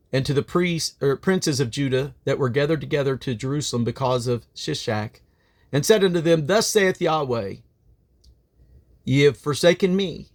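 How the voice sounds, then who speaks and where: Man, medium pitch, Bible reading, deliberate phrasing, occasional stumbles on names. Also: vocal fry. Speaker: Bible reader. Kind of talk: scripture reading aloud.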